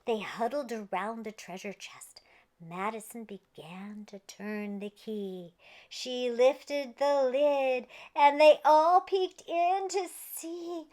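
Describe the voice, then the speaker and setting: A woman's voice: a little high, slow pace, darkish tone. A woman reading a children's story aloud in a playful, theatrical way with exaggerated emphasis. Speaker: children's storybook reader and host.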